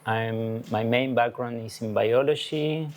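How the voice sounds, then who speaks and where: A man from Argentina, an artist with a biology background, explaining concepts in a talk, halting and searching for words. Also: mid-range voice, slight background noise. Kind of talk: presenting a talk to an audience.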